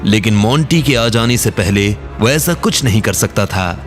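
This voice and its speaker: A man's voice: on the low side, animated, normal speed, faint music behind. A man reading scripted narration as the storyteller of an audio drama.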